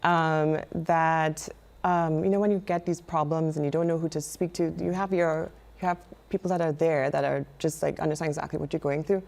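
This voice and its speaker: Trinidadian woman, TV guest, lowish gravelly voice, earnest and halting. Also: fairly even intonation, a little mellow. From Trinidad and Tobago. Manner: earnest, halting with many fillers and restarts. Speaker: knitwear designer guest. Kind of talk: live TV interview.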